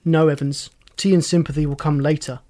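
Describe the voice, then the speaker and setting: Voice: medium pitch, steady tone, a little fast, dark tone, natural voice. Narrator acting wry, commanding police inspector. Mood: folksy, explaining. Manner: folksy, teasing, pointed questioning.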